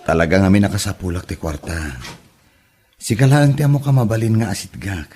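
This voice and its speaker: A man's voice: lowish voice, fairly quick, darkish tone, husky. An actor playing a jobless, sweet-talking young womanizer son who is evasive and short of money, in an Ilocano comedy drama. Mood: sheepish, pleading.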